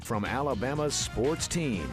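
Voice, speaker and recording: A male station announcer reads a sports intro over prominent music. His delivery is slow and deliberate, with big swings in pitch. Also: animated. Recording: music behind the voice.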